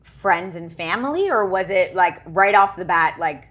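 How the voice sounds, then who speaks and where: A woman's voice: fairly low pitch, expressive, a little slow, a bit bright. A woman hosting a casual interview, encouraging her guest and dropping frequent short agreements. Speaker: interviewer host.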